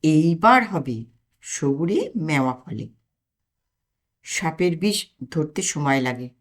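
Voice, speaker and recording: A woman voicing a pompous, boastful Brahmin pundit with grandiose, learned wording in a comic audio story. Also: mid-range voice.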